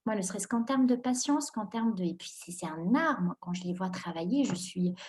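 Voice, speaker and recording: A young woman from Morocco answers interview questions with lively energy. She laughs often and fills her sentences with hesitations and quick restarts. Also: medium pitch. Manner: animated, laughs often, many fillers and restarts. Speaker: guest entrepreneur.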